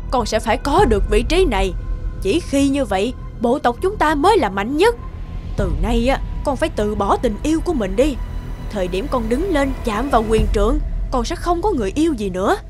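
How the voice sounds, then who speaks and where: A woman's voice: fairly high pitch, big pitch swings, fairly quick, a little mellow. An actress playing a proud, domineering mother in a fairy-tale cartoon, strict and insistent. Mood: stern. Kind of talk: animated fairy-tale acting. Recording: music behind the voice.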